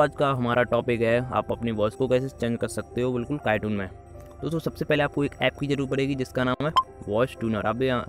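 Man hosting tutorial over music. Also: mid-range voice. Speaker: gaming channel host. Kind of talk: scripted app tutorial voice-over. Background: background music.

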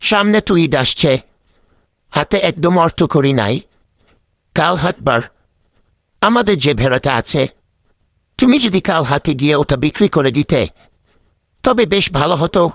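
A man voicing a kindly father in a cartoon, gentle, coaxing and hesitant. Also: a little high, expressive, moderate pace, long pauses, no room sound, clean studio sound. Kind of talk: cartoon dubbing.

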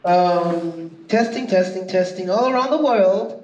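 A man's voice: sits high, measured pace, a little mellow, dull audio. A man casually hosting an unscripted unboxing video, chatting informally and thinking aloud as he reviews a product. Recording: echoey room with clear background noise.

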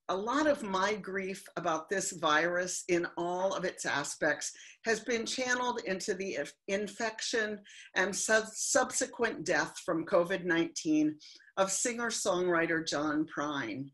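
Older lady preaching slow and thoughtful from home, webcam sound. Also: mid-range voice, a bit bright. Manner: slow, reflective, heartfelt delivery. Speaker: minister. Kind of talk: sermon. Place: home living room. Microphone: webcam on video call.

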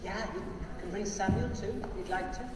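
An older male priest leading prayers, then talking gently and simply to children. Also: sits high, moderate pace, heavy background noise. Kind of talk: liturgical prayer and children's address. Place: large church. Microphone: lectern mic, far from camera. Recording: echoey church from far off.

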